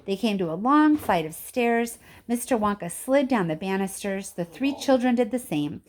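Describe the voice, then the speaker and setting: A woman's voice: mid-range voice, lively intonation, normal speed. A woman narrating a children's book expressively, like a teacher reading aloud. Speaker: story reader, teacher.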